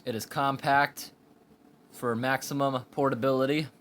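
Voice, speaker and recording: A man giving an informal hands-on product review in a plain, explanatory way with halting phrases, recorded indoors on a handheld camera. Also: mid-range voice, fairly even intonation, fairly bright tone. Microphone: handheld camera or phone microphone. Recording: amateur recording with background room noise.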